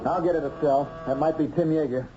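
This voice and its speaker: An American actor playing an eager wronged husband in a radio mystery drama, questioning and emphatic. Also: male voice, on the high side, steady tone, quick pace, loud music behind the voice, lightly acted. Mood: genial, hopeful. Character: sociable wronged husband, eager to clear his name. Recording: dull, noisy old radio recording.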